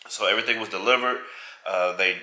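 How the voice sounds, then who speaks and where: A man's voice: on the low side, fast talker, pushed and bright. A man, nasal from a head cold, chatting casually and rambling through a tour of his home theater. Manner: rambling, self-correcting. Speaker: vlog co-host. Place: large home theater room, indoors. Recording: echoey room.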